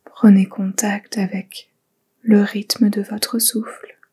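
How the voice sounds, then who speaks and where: Very breathy, slow-paced woman guiding meditation. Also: mid-range voice, flat delivery. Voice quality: breathy, whispery. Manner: slow, gentle, soothing instructions with pauses. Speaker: yoga nidra meditation guide. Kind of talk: guided relaxation meditation, soft and whispery.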